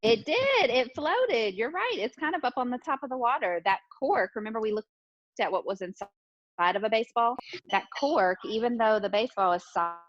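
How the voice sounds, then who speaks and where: A woman's voice: a little high, lively intonation, brisk, lo-fi sound. A woman teaching pre-kindergarten children interactively, asking questions and repeating their answers in an encouraging way. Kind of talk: interactive lesson for young children.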